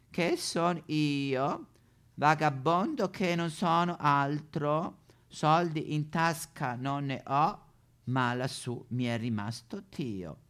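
A man's voice: fairly high pitch, fairly bright tone, pitched above the performer's own voice, exaggerated voice. A man playing an elderly retired German pope in a satirical stage monologue, with a German accent, mock-solemn sing-song preaching and petulant complaints. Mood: wistful, deadpan. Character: elderly retired German pope, whiny, pious but foul-mouthed.

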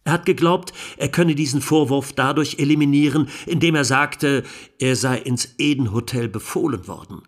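Casting: male actor as accusing 1920s defence lawyer, mid-pitched, measured and emphatic, rhetorical courtroom plea for radio documentary drama. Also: close to an everyday voice. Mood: insistent, reproachful. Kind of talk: dramatised courtroom closing speech.

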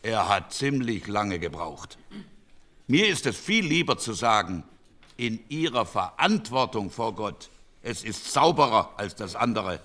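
An actor playing a wry, sceptical, plain-spoken parliamentary delegate of 1948 in a radio docudrama. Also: male voice, lowish voice, sing-song, unhurried, pushed and bright, heavily acted. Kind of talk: German radio docudrama acting.

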